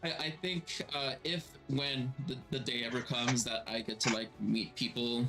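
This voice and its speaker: A man chats on a home stream with his voice processed a little lower and more resonant. He rambles casually and pokes fun at himself, filling pauses with plenty of likes and ums. Faint music plays underneath. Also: steady tone, poor audio. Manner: chatty, self-deprecating, full of fillers. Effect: voice processed slightly lower and more resonant. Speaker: streamer and game master.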